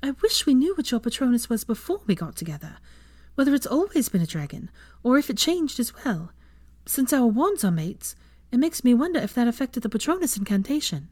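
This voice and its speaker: A woman voicing an eager, bookish young witch, speaking high and gushing with encouragement. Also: very animated, brisk, a little mellow, no echo. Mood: musing.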